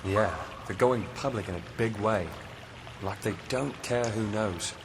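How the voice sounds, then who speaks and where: An actor playing a serious, measured male ally in a game. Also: fairly low pitch, background noise. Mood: sober.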